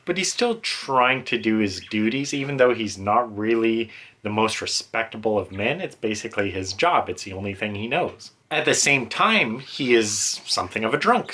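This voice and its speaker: A man's voice: lowish voice, sharp tone. A man giving an informal, rambling, self-aware book review as a reviewer talking casually on video.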